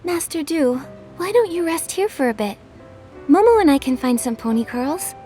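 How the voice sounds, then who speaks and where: A woman's voice: high-pitched, very animated, moderate pace, dark tone, faint music behind. An actress playing a kind, encouraging young girl adventurer in a video game, speaking sweetly and considerately. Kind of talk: video game cutscene acting.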